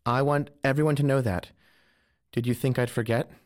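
A man's voice: mid-range voice, fairly even intonation, normal speed, close to an everyday voice. Casting: male actor for an earnest, formal young officer in radio drama, devoted husband, mid-range voice. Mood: tender. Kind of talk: audio drama acting.

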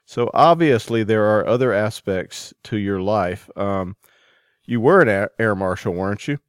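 A man's voice: on the low side, animated, measured pace, clear, resonant tone, no echo, studio quality. A man hosting a podcast, giving introductions and putting questions to a guest. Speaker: podcast host and interviewer.

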